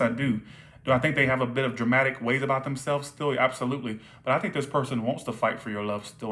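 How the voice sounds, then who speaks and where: A man's voice: mid-range voice, a bit bright. A man reading tarot cards live for viewers, repeating his points and checking in often, his voice starting to tire. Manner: repetitive, checks in with frequent "all right?". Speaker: tarot reader.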